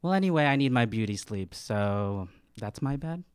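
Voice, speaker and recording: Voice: mid-range voice, lively intonation, moderate pace, darkish tone, smooth, no echo. A tabletop player improvising in-character dialogue with a haughty, affected manner.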